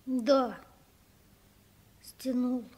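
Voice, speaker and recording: A nine-year-old orphan boy speaks in a high, childlike voice. He is earnest and eager, halting as he searches for words, in the manner of an old radio play. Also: fairly even intonation, a little mellow, husky, exaggerated voice. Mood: sheepish confessing. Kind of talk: 1960s radio play acting.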